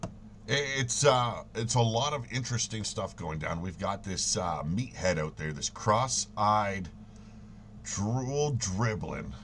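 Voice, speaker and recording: A man's voice: fairly low pitch, expressive, slow pace, a bit bright, slight background noise. A man co-hosting a casual wrestling storyline chat in a folksy, crude, theatrically indignant manner. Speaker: co-host of a wrestling podcast.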